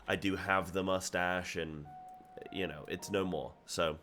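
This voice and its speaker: A man's voice: low voice, a little slow. A young man with a cold, sounding a little nasal, chatting casually and animatedly as he recaps his week of gaming for his viewers. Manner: chatty, animated, with frequent restarts. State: sick, slightly nasal. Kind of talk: casual solo recap to camera.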